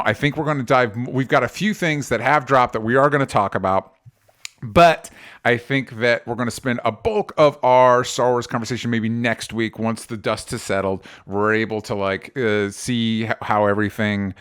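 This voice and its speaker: A man chats as the host of a casual pop culture podcast. He speaks in an ordinary mid-range voice, often halting and doubling back on himself. The wording is loose and informal. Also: medium pitch, fairly bright tone. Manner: rambling, halting, self-interrupting.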